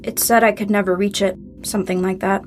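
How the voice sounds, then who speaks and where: A woman's voice: medium pitch, little pitch movement, a little fast, soft background music, close to an everyday voice. An actress playing a troubled, grieving daughter in an audio drama, a young woman with a guarded, moody way of talking. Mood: guarded, uneasy. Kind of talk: naturalistic audio drama acting.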